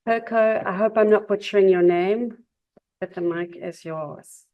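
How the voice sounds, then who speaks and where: A woman's voice: medium pitch, a little slow, phone-quality sound. A woman moderating a conference panel, introducing speakers in a friendly, self-deprecating way.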